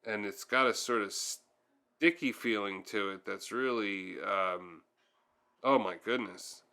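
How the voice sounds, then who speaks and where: Male tutorial host for hands-on repair narration; lower register, smooth clear tone, informal delivery. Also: slow pace, pushed and bright. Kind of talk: hands-on how-to narration, casual.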